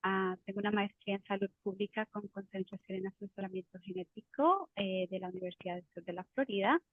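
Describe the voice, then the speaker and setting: A woman's voice: medium pitch, a little fast, no echo, narrow, tinny audio. A woman, a genetic counselor, giving an explanatory webinar talk over a video call, with frequent fillers and restarts. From Colombia.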